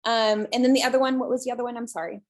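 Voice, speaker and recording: A woman from the presenting in a webinar over a video call, soft and relaxed, with many pauses and ums. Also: on the high side. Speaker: guest presenter, shelter social media creator.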